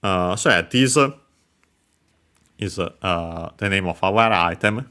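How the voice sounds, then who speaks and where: Low-voiced guy teaching japanese words, says uh a lot, crisp bright tone. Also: slow pace. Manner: hesitant, many filler sounds, careful explanation. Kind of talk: explaining grammar over gameplay.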